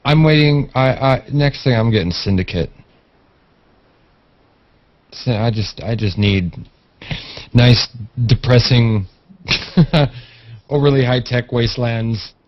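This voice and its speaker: A man's voice: medium pitch, takes it slowly, long pauses, no room sound. A man chatting casually in a wry, teasing way as a guest on a group video call. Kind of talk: casual chat. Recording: muffled, lo-fi internet video call.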